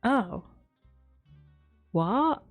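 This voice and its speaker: A woman's voice: on the high side, very animated, drawn-out words, faint music behind. A woman hosting a casual gaming livestream, chatting with viewers in a bubbly, giggly way. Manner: bubbly greetings, giggles often. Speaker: live streamer host.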